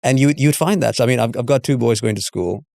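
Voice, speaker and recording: A middle-aged man hosting a casual interview, haltingly searching for words and repeating himself. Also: medium pitch, vocal fry, a little mellow, no room sound, clean studio sound. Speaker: interview host.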